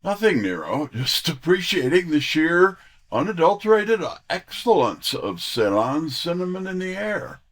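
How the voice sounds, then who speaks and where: Guy playing a wry detective sidekick, audio drama, unhurried, pitch all over the place. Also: big pitch swings, a bit bright, exaggerated voice. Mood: breezy. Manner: wisecracking, theatrical. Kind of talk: full-cast audio drama, detective narration.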